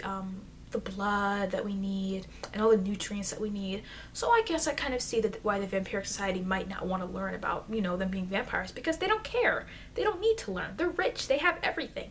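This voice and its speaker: A woman plays a chatty, friendly immortal vampire in a soft-spoken ASMR roleplay. Her medium-pitched voice wanders along conversationally, full of loose asides. A faint layer of noise from an amateur microphone sits under the speech. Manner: rambling, conversational, frequent "you know". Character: friendly, chatty immortal young-looking female vampire researcher.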